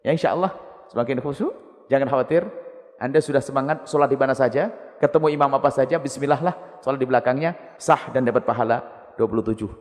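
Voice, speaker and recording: A male preacher giving a sermon, animated and humorous, recorded with long hall reverb. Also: mid-range voice, fast talker. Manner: animated, humorous, acts out quotes.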